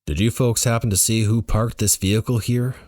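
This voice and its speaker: A man's voice: fairly low pitch, steady tone, fairly quick, a little mellow, no echo, clean studio sound, pitched above the performer's own voice, close to an everyday voice. A man voicing a suspicious, official-sounding male police officer questioning people in an audiobook. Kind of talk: first-person audiobook dialogue.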